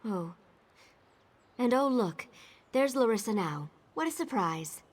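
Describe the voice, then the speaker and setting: A woman's voice: on the high side, animated, takes it slowly, breathy edge, heavily acted. A woman playing a bitter, jaded younger sister who left a cult, guarded and sarcastic, in video game dialogue. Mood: bitter, resigned.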